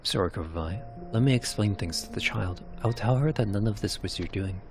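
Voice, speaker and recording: Man voicing a gentle, composed woman. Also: lowish voice, fairly quick, background noise, close to an everyday voice. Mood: soft, reassuring. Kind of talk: narrated game cutscene character.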